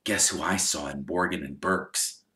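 A polite, earnest young boy, speaking a little higher and explaining himself simply, as a man puts him on. The voice is bright and sits in a roomy echo. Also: a little slow. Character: polite, earnest twelve-year-old boy. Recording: echoey room.

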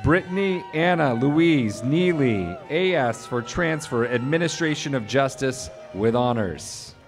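A man reading out graduates' names and degrees at an outdoor commencement, in a slow, measured way over a podium microphone. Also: medium pitch, expressive, over music. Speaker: graduation name reader. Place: outdoor stadium commencement stage.

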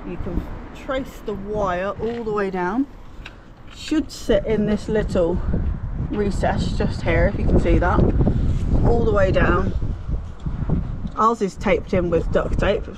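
A woman's voice: medium pitch, takes it slowly, pauses often. Chatty British woman, noisy outdoor recording. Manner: chatty, jokey, self-deprecating, playful slang. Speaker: vlogger presenting a car DIY guide. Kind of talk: casual hands-on tutorial. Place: residential street, outside and inside a car.

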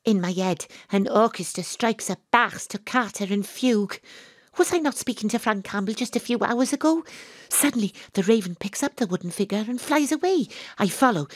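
Excitable young Welsh female sleuth played by a woman, audio drama, breathless suspense, close dry studio sound. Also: medium pitch, no room sound, lightly acted. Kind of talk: audio drama narration and dialogue.

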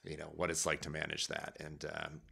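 A man's voice: rumbling low, monotone, brisk, creaky, bright, forceful tone, slightly rough. A middle-aged man hosting a podcast in casual chat, recorded at home on a close mic. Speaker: podcast host. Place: room at home, video call. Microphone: podcast mic close by, headphones.

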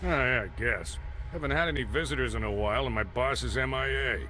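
A man voicing an apathetic, listless ride attendant in a video game, deadpan and indifferent. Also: medium pitch, fairly quick, slight background noise, lightly acted. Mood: bored. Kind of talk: video game cutscene acting.

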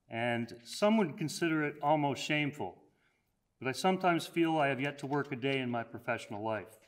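An older man at a podium delivering a prepared commencement address, measured and earnest, slowing down on key phrases. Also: mid-range voice. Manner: measured, earnest, slows down for emphasis. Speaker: college president giving commencement address. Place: college hall, at a podium.

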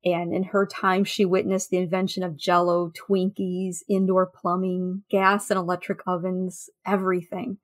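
A woman guest in a conversational podcast interview, telling anecdotes in a halting, thinking-aloud way. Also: lowish voice, level tone. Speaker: interview guest, food history researcher.

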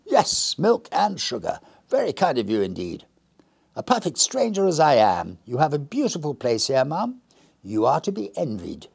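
A jolly gentleman stranger, as a male reader puts him on, hearty and laughing in a storybook tale. The voice lifts well above the reader's own and swings widely. It has a gravelly, husky grain. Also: on the high side, huge pitch swings, normal speed, voice pushed up, heavily acted. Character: cheerful jolly middle-aged gentleman stranger.

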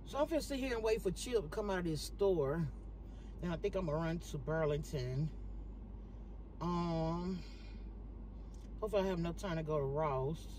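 A woman's voice: on the low side, normal speed, pauses often, a little hiss or hum, poor audio. Chatty woman vlogging from her parked car. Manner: chatty, animated, talks straight to viewers. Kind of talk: casual vlog chat to viewers.

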